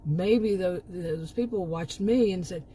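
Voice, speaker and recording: Mellow-voiced woman ranting, voice going hoarse. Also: fairly low pitch, unhurried, slight background noise. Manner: rambling, emphatic, with frequent restarts and asides. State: losing her voice. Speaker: commentary channel host. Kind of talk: casual opinion monologue.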